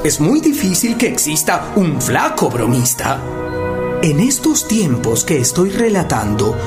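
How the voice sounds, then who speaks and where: An actor playing a theatrical jester storyteller who relishes a gruesome tale in a radio play, dramatic and given to chuckling. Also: medium pitch.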